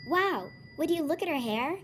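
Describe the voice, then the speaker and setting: A girl of about ten playing an excitable, effusive lady customer in a school radio play. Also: sits high, normal speed, a little hiss or hum. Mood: gushing. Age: child of about ten. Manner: effusive, exclaiming.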